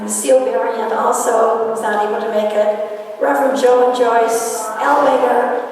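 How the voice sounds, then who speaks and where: A woman giving a public tribute speech as an organizer of a community event. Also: a little high. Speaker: event organizer honoring elders. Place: large church hall with audience. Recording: echoey hall, distant, crowd noise.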